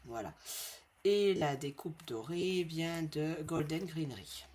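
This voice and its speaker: A woman with a slight head cold hosting a craft tutorial in a friendly, chatty way, repeating each measurement slowly for her viewers. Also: deep voice, darkish tone. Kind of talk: casual step-by-step craft tutorial.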